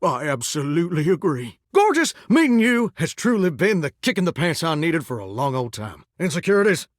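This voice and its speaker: A man voicing an earnest, excitable shower come to life as a folksy country singer with dreams, in an American Southern accent, for a video game. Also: a little high, fairly quick, no room sound, studio quality. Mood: earnest, sentimental. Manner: folksy, earnest, flirty drawl with dramatic swoops. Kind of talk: video game character voice acting.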